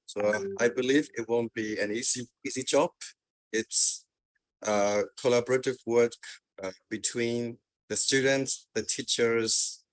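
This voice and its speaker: Slow, hesitant man. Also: medium pitch, steady tone, poor audio. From Myanmar. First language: Karen. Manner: hesitant, many fillers and restarts. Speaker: guest speaker. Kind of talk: webinar presentation. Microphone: video call.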